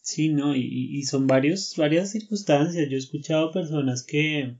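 A man hosting a talk, speaking in a measured way and often checking in with tag questions. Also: fairly high pitch, a little mellow, muffled recording. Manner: measured, thinks aloud, frequent tag questions. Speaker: podcast host. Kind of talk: casual conversation.

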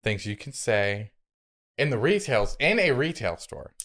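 An American man co-hosting a podcast in casual comedic chat, playful and quick to laugh. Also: mid-range voice, very animated, fairly bright tone. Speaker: podcast co-host.

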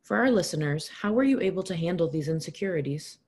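A woman's voice: on the low side, lively intonation, fast talker, a little mellow, breathy edge. A woman hosting an interview show, reading encouraging, scripted questions to her guest. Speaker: podcast host interviewer.

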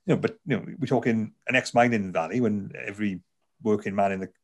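Welsh male author, lower register, conversational interviewee, lots of restarts. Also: fast. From South Wales. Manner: chatty, frequent fillers and restarts. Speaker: author, neuroscientist.

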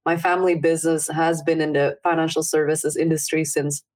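A woman's voice: deep voice, little pitch movement, fairly quick, darkish tone. A young adult woman from Indonesia, a startup founder, earnestly explaining her background as an interview guest. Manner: earnest, explains at length with fillers. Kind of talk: casual interview answers.

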